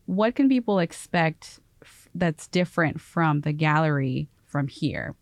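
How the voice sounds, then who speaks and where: A woman's voice: low voice, lively intonation, unhurried, dry recording. A woman interviewing guests in a conversational style.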